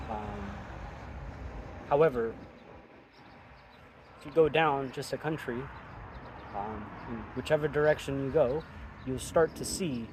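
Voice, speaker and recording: A young man from Ethiopia speaks in a measured, thoughtful way, often pausing with an um. He sounds like a chef explaining his cooking outdoors. Traffic noise runs clearly underneath. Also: medium pitch. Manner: thoughtful, measured, with frequent ums. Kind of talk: casual interview chat outdoors.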